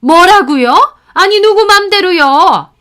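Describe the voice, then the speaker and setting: A woman voicing a boyfriend's scornful middle-aged mother who scolds and sneers in a shrill voice. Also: lively intonation, slow pace, a bit bright, full character voice. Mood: panicked, shouting. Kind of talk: storytelling with acted dialogue.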